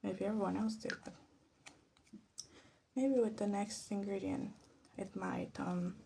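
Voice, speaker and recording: A woman speaks in a soft, mellow voice with plenty of fry, often dropping to a whisper. She muses hesitantly and self-deprecatingly as an ASMR host, over faint background noise and small clicks. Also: medium pitch, steady tone, a little slow. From Latin America. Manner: hushed, often slipping into a whisper. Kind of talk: soft-spoken ASMR commentary while demonstrating. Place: bedroom.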